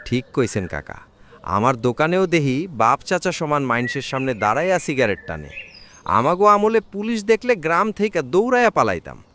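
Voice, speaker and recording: Male actor as deferential, grumbling tea-stall keeper, slightly high animated voice, rural Bangladeshi accent. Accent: rural Bangladeshi Bengali. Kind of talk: cartoon village drama dialogue. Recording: faint background noise with clicks.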